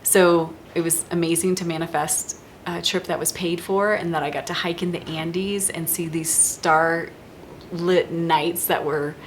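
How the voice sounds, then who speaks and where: An American woman speaks in a low voice, telling personal stories in a casual interview. Her delivery is earnest and halting, with repeated phrases and pauses. A rumbling background noise sits under the recording. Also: steady tone. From Kansas City, United States. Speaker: life coach and retreat facilitator.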